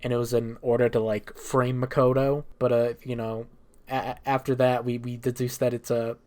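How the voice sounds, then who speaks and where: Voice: medium pitch, little pitch movement, normal speed, darkish tone. A speaker giving a casual, improvised story recap as a gaming streamer, rambling and irreverent with plenty of swearing.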